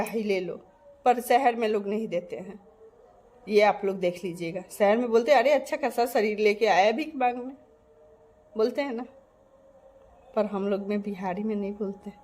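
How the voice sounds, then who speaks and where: A woman's voice: on the high side, darkish tone. A woman from Bihar talking to her channel's viewers in an earnest, emphatic way, repeating her points. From Bihar, India. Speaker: vlogger. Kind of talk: casual direct-to-camera monologue.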